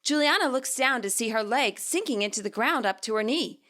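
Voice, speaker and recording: A woman reading scripted story narration as its author, in an expressive way. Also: a little high, a little fast.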